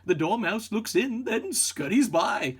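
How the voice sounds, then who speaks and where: A man reading a children's picture book aloud as scripted narration, with expressive storytelling delivery. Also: high voice, unhurried, slightly rough. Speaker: storybook reader.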